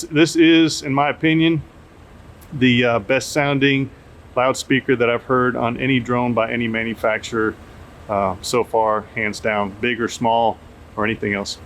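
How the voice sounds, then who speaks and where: A man hosting a casual field test, talking off the cuff outdoors into a camera microphone. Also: medium pitch. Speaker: drone tester and video host. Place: outdoors under an open shelter at an airfield. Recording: noisy outdoor camera audio, steady ambient hum.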